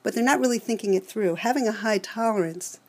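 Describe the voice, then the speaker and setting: A woman's voice: a little high, a little fast, darkish tone. New York woman counselor, earnest. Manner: earnest, explanatory, lecturing. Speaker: college alcohol counselor. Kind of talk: expert interview answers.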